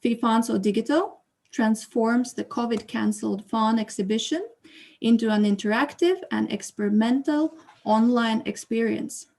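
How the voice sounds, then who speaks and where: A woman's voice: fairly high pitch, moderate pace, gravelly, darkish tone. A woman hosting an online exhibition opening who reads a prepared statement over a video call, then moderates with hesitant fillers. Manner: hesitant fillers when speaking off script. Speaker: exhibition host and curator.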